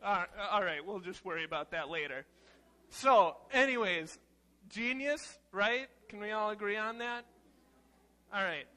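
Bright, high-pitched young man, playful children's preacher. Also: unhurried. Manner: animated, informal, exclaiming. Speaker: children's message leader at church. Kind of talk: playful, casual children's sermon. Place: church sanctuary, seated on chancel steps among children.

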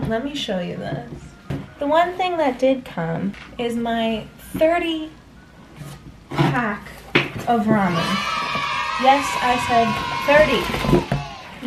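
A young woman talks slowly and easily to her camera in a small apartment room, rambling and laughing through her day. Her voice sits a little high, and clear background music plays under her. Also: low-quality recording. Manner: chatty, laughs often, relaxed and rambling. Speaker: vlogger. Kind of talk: casual vlog chat. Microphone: camera on a desk, later handheld camera.

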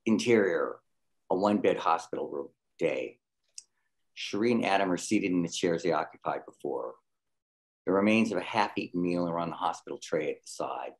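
A man's voice: low-pitched, animated, normal speed. A man reading scripted screenplay stage directions as the narrator at a table read.

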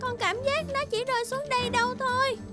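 Sweet little cartoon daughter, very high-pitched, sentimental, light music behind. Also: female voice, fairly even intonation, fairly quick. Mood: distressed. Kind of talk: children's cartoon dialogue.

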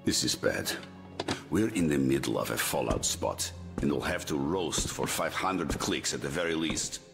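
A very low-voiced, gruff general in a game drama. He is world-weary and commanding, with swings in his delivery, heard in a long reverb over music. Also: expressive, moderate pace, exaggerated voice. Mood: grim, weary. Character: weary, hard-bitten male general, commanding and pragmatic. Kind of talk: dramatic video game dialogue.

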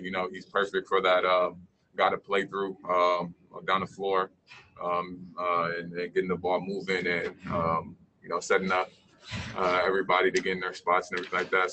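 A young man speaks from an indoor media room over a video call, answering questions in a deep, flat yet bright voice. He halts often, filling gaps with ums and you-knows. A faint hiss sits under the line. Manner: halting, many fillers and you-knows. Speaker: pro basketball player. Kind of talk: post-game press conference answers.

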